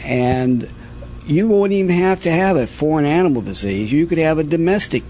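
A man answering an interview as a facility veterinarian, explaining things in a formal, careful and somewhat halting way. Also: on the high side, expressive, a little mellow, a little hiss or hum.

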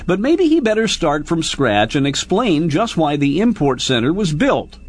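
A man's voice: fairly high pitch, very animated, moderate pace. An American man hosting a radio feature, narrating conversationally and explaining things in a folksy way, straight to the listener. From small-town United States. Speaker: radio host and journalist.

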